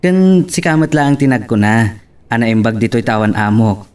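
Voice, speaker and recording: A man's voice: mid-range voice, normal speed, darkish tone, clean tone, lightly acted. A man playing a hopeful, hardworking young farmer in love in an Ilocano audio drama. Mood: cheerful, proud. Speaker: actor in radio-style drama. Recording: slightly distant, noisy ambient recording.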